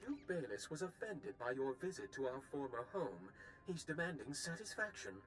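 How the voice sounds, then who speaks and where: A man's voice: a little high, big pitch swings, normal speed, a bit bright, soft background music, poor audio. An actor voicing a worried young nobleman in a video game, formal and serious. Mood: grave concern.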